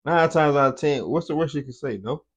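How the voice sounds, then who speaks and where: A man's voice: fairly high pitch, a little fast, husky, highs cut off. A man hosting a reaction video, talking in a loose, slangy, chatty way. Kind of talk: casual reaction commentary.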